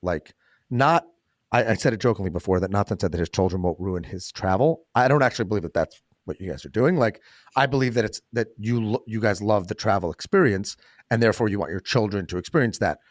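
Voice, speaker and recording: A man's voice: on the low side, fast, no room sound, studio quality. A man hosting a podcast, chatting casually and making joking asides. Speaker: podcast host. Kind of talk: casual interview chat.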